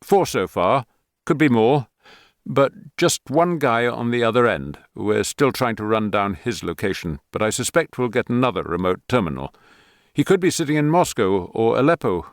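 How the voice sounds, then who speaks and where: A man voicing a male intelligence officer giving a quick briefing. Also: mid-range voice, animated, dry recording, studio quality, natural voice. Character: male French intelligence officer on a video screen.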